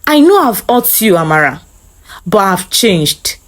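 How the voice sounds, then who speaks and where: A regretful ex-husband in a narrated story, voiced by a woman, pleads softly and earnestly for another chance. The voice is animated, with wide swings in pitch. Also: unhurried. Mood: regretful, pleading. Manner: soft, earnest.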